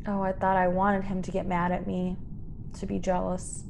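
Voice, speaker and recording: An actress playing a frightened young woman stowaway in a science fiction radio drama, halting and emotional. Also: lowish voice, completely flat delivery, vocal fry, noisy background. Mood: wistful, subdued. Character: frightened young female stowaway, pleading, naive and wistful. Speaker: actor in a radio play.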